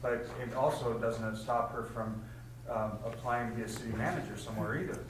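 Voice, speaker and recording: A man's voice: mid-range voice, fairly even intonation, fairly quick. A man at a public meeting, speaking bluntly and slipping in joking asides. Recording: very echoey room, distant mic, noisy.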